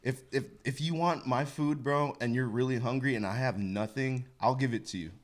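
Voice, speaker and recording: A man's voice: mid-range voice, moderate pace. A man chatting casually as a podcast co-host, earnest and slangy in his wording. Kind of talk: casual group podcast chat.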